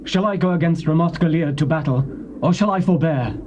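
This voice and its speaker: An imperious, bitter king, acted by a man gives orders in a raised-pitch, declamatory voice. It is classical Bible drama, with slight hiss from old tape. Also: brisk, darkish tone, close to an everyday voice. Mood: commanding. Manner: commanding, declamatory. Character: imperious, resentful king of ancient Israel. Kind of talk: dramatized Bible reading, classical stage acting. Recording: old cassette with faint noise.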